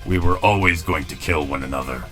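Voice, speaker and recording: An actor playing a weary, stoic robot commander, solemn and commanding, in a dramatic comic dub. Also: male voice, rumbling low, a little fast, pushed and bright, soft background music. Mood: firm, commanding. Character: weary, stoic male robot commander, grave and self-doubting.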